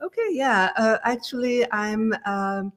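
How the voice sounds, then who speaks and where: A woman's voice: on the high side, a little slow. Belgian woman, French accent, hesitant. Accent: French-speaking Belgian. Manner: hesitant, many fillers and restarts. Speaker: interview guest. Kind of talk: casual conversational interview.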